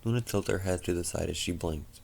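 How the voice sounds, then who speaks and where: A tired man narrating a fan fiction story as an amateur reader, halting and stumbling over words. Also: low voice, vocal fry, a little mellow. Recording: faint background noise.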